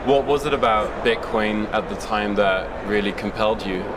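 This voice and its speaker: A man's voice: lowish voice, moderate pace, background noise. A man interviewing a founder, asking casual questions and chiming in with short acknowledgements.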